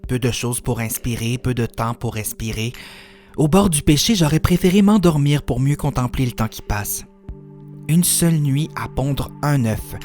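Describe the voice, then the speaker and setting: A man reciting his own spoken-word poetry in a contemplative, literary way, in short measured phrases. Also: medium pitch, lively intonation, darkish tone, soft background music. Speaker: poet reciting own work.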